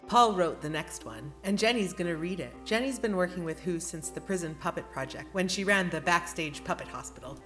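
A woman delivers scripted podcast narration as the host. Her voice sits somewhat low, and music plays softly beneath it.

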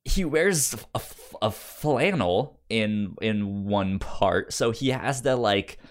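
A man chatting casually as a podcast host, halting and often repeating the starts of his words. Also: on the low side, animated. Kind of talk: casual chat about comics.

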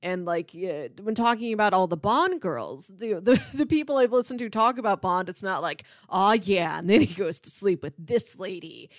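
A woman's voice: medium pitch, lively intonation, moderate pace, hardly any pauses, no room sound, clean studio sound. A woman co-hosting a podcast, chatting casually and enthusiastically about films, with "like" sprinkled through her talk. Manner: enthusiastic, conversational, peppers talk with "like". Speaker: podcast co-host. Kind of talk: casual film discussion chat.

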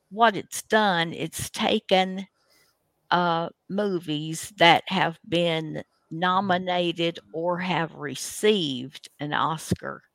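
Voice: mid-range voice, gravelly, a bit bright, no echo. A radio host casually introducing a show in a folksy, hesitant, rambling way.

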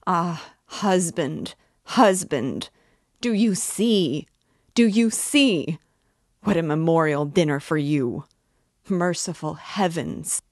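A woman reading an audiobook voices a frantic, desperate widow who cries out and pleads in a rising, shrill voice. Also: no room sound, studio quality. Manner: crying out, pleading, rising into shrieks. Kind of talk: audiobook character voice.

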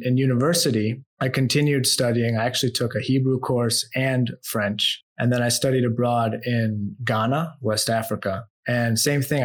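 A man from the United States telling his life story as a podcast guest. His voice is mid-pitched and conversational. He sounds relaxed and reflective, and often drops low and quiet as he thinks back. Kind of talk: storytelling in an interview.

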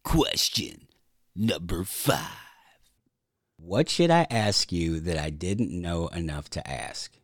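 Low husky guy asking questions slowly, dry sound. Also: animated, dry recording. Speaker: podcast host. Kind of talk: interview questions.

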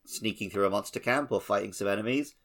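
A man's voice: on the low side, fast, fairly bright tone. A man co-hosting a podcast, chatting casually about a video game. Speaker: podcast co-host; teacher.

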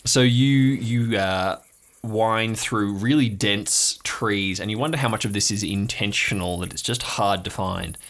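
A man narrating an improvised audio drama as game master, descriptive and halting as he makes it up. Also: fairly low pitch, fairly bright tone.

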